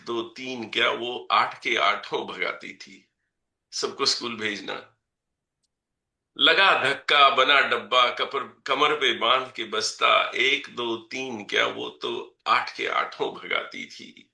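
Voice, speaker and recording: Man slowly reciting verse in an echoey room. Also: medium pitch, gravelly, pushed and bright. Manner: recites each couplet twice, adds brief explanations between verses. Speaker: poet reciting at an online poetry gathering. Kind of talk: poetry recitation with spoken asides. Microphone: video call.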